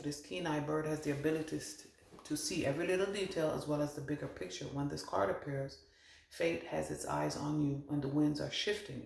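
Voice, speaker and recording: A man's voice: fairly high pitch, creaky. A man working as a medium, giving a meandering channeled card reading and relaying what spirits tell him. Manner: meandering, thinks aloud, relays spirit messages. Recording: roomy echo.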